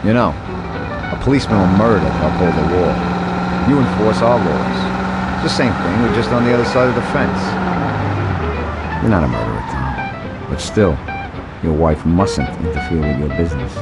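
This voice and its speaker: Game mobster elder: low, widely inflected, cautionary and businesslike, under loud music and rain. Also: male voice, lively intonation, moderate pace, pauses often. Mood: fatherly, cautioning. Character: calm older mobster advisor, fatherly, cautionary, businesslike. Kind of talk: video game cutscene acting.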